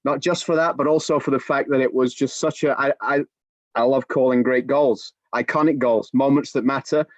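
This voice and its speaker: A man in his forties, a soccer commentator, telling an animated story with many restarts on a video-call podcast. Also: medium pitch, moderate pace, no room sound. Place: home room with bookshelves. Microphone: video call webcam. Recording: dull, dry video-call audio.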